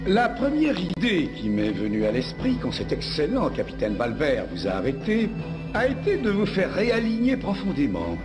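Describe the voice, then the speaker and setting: Pompous scheming cartoon villain, super animated pitch, fake polite, music behind. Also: male voice, normal speed. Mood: gloating admiration. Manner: pompous, mock-courteous, florid. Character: scheming villain, suave, theatrical, gloating male tyrant. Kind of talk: dubbed cartoon villain acting. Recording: music under the voice.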